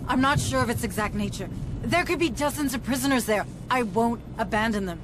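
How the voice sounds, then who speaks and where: A woman's voice: fairly high pitch, lively intonation, fairly quick, background noise, close to an everyday voice. A woman playing a resolute, duty-bound commander with a firm, serious manner in a sci-fi game cutscene. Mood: determined. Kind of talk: video game cutscene acting.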